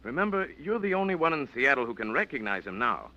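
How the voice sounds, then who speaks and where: An actor playing George, a calm, cautious man who reasons carefully, in a 1940s radio drama. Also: medium pitch. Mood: measured. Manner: measured and reasoning. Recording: dull vintage radio recording.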